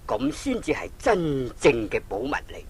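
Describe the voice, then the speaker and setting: A man's voice: a little high, huge pitch swings, creaky, slightly rough, exaggerated voice. An actor playing a patient, resigned elderly father in a Cantonese audio storybook drama from Hong Kong, consoling and moralising with sighs. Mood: solemn advice. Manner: sighing, consoling, deliberate. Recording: old cassette with faint noise.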